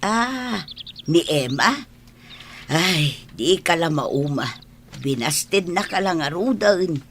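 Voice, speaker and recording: A woman's voice: on the low side, expressive, takes it slowly, fairly bright tone, a little hiss or hum, heavily acted. An actress playing a fond, matchmaking elderly mother in an Ilocano radio drama, speaking in a chiding, motherly way.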